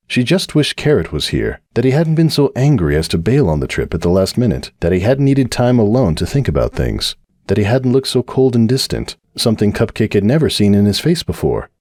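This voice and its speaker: Expressive low male narrator. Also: no echo, clean studio sound. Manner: expressive storytelling. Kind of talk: dramatic audiobook reading.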